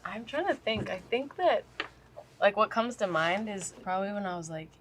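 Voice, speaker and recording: A woman's voice: mid-range voice, lively intonation, moderate pace, a loud crowd. A woman songwriter who talks in a laid-back, self-deprecating way. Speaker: songwriter guest. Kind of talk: casual chat.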